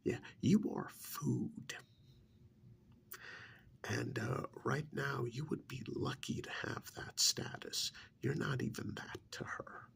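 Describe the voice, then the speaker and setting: Man playing a slow, creaky, soft-spoken vampire emissary. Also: mid-range voice, heavily acted. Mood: cold, quietly threatening. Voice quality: breathy, half-whispered, creaky. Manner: slow, deliberate, condescending, hesitant pauses. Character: calm, menacing male vampire emissary of a queen. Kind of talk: soft-spoken ASMR role-play.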